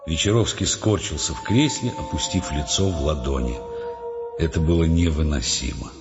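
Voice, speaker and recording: A man's voice: extremely low pitch, normal speed, music in the background. A man playing the narrator of a novel in an audio drama, grave and observant, reading literary prose. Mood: grave, measured. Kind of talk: scripted literary narration in radio play. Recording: dull sound in a long reverb.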